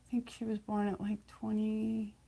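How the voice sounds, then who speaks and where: A young woman with a slight lisp, chatting casually on a livestream, halting often with fillers and restarts. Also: medium pitch, monotone, vocal fry, warm tone, slightly rough, rough recording. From California, United States. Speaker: livestream host.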